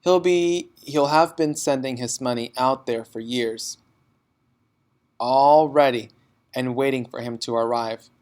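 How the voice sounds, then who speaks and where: A man voicing a kind woman teacher in a storybook, with a gentle, measured, matter-of-fact manner. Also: medium pitch, clear, resonant tone, natural voice.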